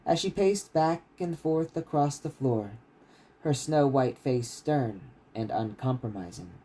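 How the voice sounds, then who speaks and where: A man's voice: medium pitch, expressive, unhurried, dark tone, breathy edge, low-quality recording. A man who reads his own fantasy writing aloud like a bedtime story on a casual livestream. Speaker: author reading own novel aloud.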